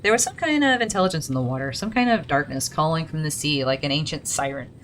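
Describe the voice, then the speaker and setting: Low-voiced woman chatting about a game. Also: expressive, fast talker, fairly bright tone, slight background noise. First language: English. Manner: chatty asides while reading lore aloud. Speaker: gaming video creator. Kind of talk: casual game commentary and lore reading.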